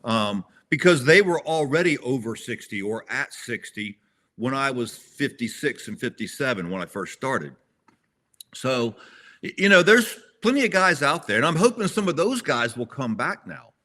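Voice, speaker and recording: A man's voice: mid-range voice, very animated, moderate pace, sharp tone. A man in his sixties talking as an interview guest, rambling a little with restarts and self-corrections. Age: 60s. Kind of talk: casual conversational interview.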